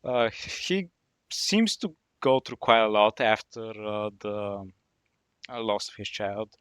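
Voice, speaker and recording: Low-voiced man asking halting questions. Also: a bit bright, smooth, no room sound, studio quality. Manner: measured, halting with uhs. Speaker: podcast co-host. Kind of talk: casual podcast interview questions.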